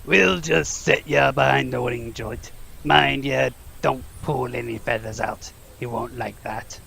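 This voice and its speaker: A big rustic gamekeeper, heavily gravelled and unhurried. He is folksy, protective and eager in this audio drama, with a bright, forceful edge to his voice. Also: medium pitch, a little hiss or hum, full character voice. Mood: pleased, encouraging. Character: big hearty gruff gamekeeper teaching class, eager, protective.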